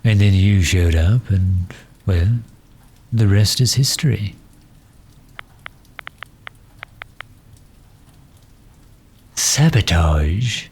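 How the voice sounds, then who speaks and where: A man playing a pompous, pedantic but affable gentleman supervillain in an ASMR roleplay, with a husky, breathy voice and a wordy, condescending manner full of hesitant pauses. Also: sits low, a little hiss or hum. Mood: matter-of-fact. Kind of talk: ASMR roleplay audio drama.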